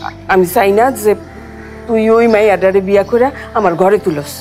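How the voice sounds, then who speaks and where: A woman's voice: medium pitch, moderate pace, a little mellow, faint music behind, close to an everyday voice. An actress playing a pious, nagging, aggrieved older mother with a colloquial Bangladeshi Bengali accent in a television drama. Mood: aggrieved, scolding. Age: middle-aged or older. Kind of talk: domestic TV drama acting.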